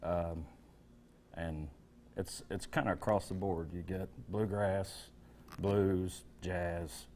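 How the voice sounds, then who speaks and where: A man in his thirties from Kentucky, a musician guest giving brief, laid-back answers with pauses on a TV studio set. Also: low-pitched, fairly even intonation, warm tone, slight background noise. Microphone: stand mic.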